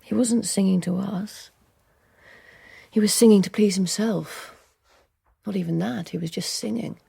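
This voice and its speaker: An actress playing a tender, frank lover and rebel in a radio drama. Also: female voice, medium pitch, a little slow, vocal fry, warm tone, breathy edge, exaggerated voice. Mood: drowsy, wry. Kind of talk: British radio drama acting.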